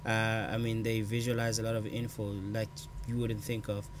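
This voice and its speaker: A man's voice: on the low side, monotone, normal speed, slight background noise. A man co-hosting a tech show, pointing viewers to programs in a casual, upbeat way. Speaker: co-host.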